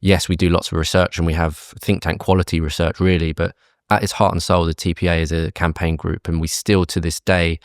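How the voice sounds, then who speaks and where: Deep-voiced British man explaining policy. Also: level tone, no room sound, clean studio sound. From United Kingdom. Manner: measured, explanatory, frequent fillers and restarts. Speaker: interview guest, campaign group chief. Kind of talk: conversational policy interview.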